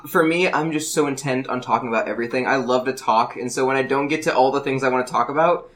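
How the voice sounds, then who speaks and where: A man with an average-pitched voice talks casually as a podcast co-host. He comes across as earnest and keen to keep the discussion on point. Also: quick pace, fairly bright tone. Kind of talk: casual group chat.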